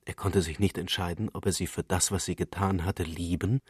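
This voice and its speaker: A man's voice: low voice, fairly even intonation, fairly quick, a little mellow, breathy edge, dry recording, studio quality. A man reading literary prose as a scripted narrator.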